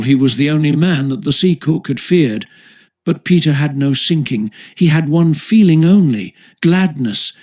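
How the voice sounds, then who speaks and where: A man's voice: a little high, darkish tone. A man reading a classic children's adventure story aloud as an audiobook narrator, with a literary storytelling delivery.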